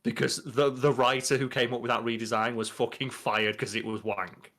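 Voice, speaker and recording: A man's voice: mid-range voice, flat delivery, normal speed, a bit bright. Emphatic man chatting on a podcast. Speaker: podcast co-host. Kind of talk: casual banter, explaining pop culture.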